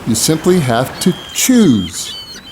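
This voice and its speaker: A man's voice: on the high side, huge pitch swings, takes it slowly, a little hiss or hum, heavily acted. An actor playing a cryptic, impatient boatman in an audio drama, blunt and goading as he gives riddling counsel. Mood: insistent.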